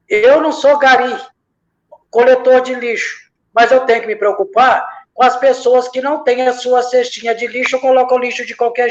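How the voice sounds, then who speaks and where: A man from from that region, a lay missionary reflecting in a church discussion, building his points from parallel examples and often hesitating. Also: very high voice. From Minas Gerais, Brazil. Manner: speaks in repeated parallel examples, many hesitations. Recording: dull lo-fi sound.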